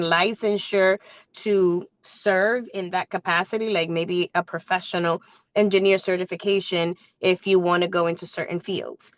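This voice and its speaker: A woman with a slightly hoarse voice from a cold, presenting like a business coach in a conversational, instructive way. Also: on the low side. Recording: echoey room, dull muffled sound.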